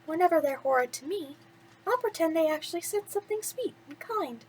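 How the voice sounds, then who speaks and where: A woman's voice: very high voice, lively intonation, a little fast, darkish tone, voice pushed up. A woman voicing a sweet, kind young storybook heroine with a dreamy, sing-song manner. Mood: soft, dreamy.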